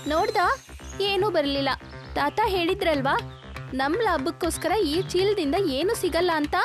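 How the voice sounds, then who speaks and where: A woman voicing a sensible, earnest young girl, the cautious big sister in an animated moral story. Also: very high-pitched, a little slow, music in the background. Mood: cautioning.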